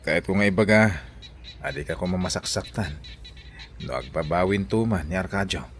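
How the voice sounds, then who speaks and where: An actor with a Filipino accent playing a protective, concerned elder brother in a radio drama. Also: male voice, deep voice, a little fast, a little hiss or hum, lightly acted. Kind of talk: Ilocano radio drama acting.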